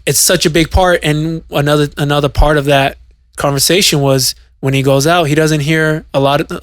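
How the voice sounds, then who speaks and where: A man's voice: medium pitch, a bit bright, dry recording, studio quality. A male DJ co-hosting a podcast, telling a story at length in casual chat, with many fillers and restarts. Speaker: podcast co-host and DJ.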